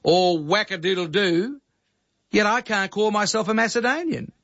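A man's voice: high voice, moderate pace, a bit bright. A man from Greece hosting talkback radio in a blunt, indignant, ranting way. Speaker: radio host. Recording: dull lo-fi archival radio recording.

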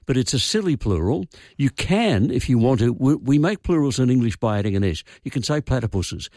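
A man's voice: medium pitch, very animated, fairly quick, a little mellow, dry recording. An older Australian man on a radio phone-in, explaining word origins in a wry, opinionated way. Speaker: language expert guest. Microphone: studio microphone. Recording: dry studio sound.

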